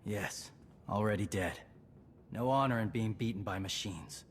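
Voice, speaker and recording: A man's voice: on the low side, measured pace, slight background noise, close to an everyday voice. A man playing a young clan leader who speaks in short, clipped, tired sentences. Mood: terse. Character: young male clan leader, weary, honor-bound.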